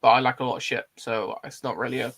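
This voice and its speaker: A man's voice: mid-range voice, steady tone, fast talker, gravelly, fairly bright tone. A man, hosting a casual film chat and reading out live comments in an informal, blokey way. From Bristol, England. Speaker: host of a film discussion livestream.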